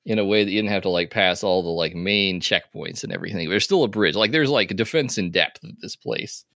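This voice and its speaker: Man, game master, low voice, easygoing and chatty, dry sound. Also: fairly quick, creaky, no room sound. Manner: relaxed, rambling with fillers and laughs. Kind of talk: running a tabletop game playtest.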